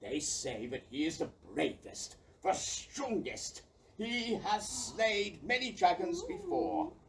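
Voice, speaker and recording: A woman's voice: mid-range voice, sing-song, takes it slowly. A woman playing an alarmed, indignant cattle-owning villager in an audio play. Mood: resolute. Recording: distant mic with background noise.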